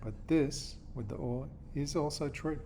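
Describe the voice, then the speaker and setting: A man's voice: on the high side, unhurried, mellow, a little hiss or hum. Patient man explaining a lesson. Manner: patient, checks in with "okay?" and "yeah?". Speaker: teacher.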